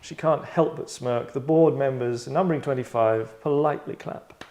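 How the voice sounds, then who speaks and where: Guy hosting a script read, rambly and wry, middle pitch, roomy echo. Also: moderate pace, a little mellow. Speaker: host and director of table read. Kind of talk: casual chat and stage-direction narration. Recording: echoey room sound.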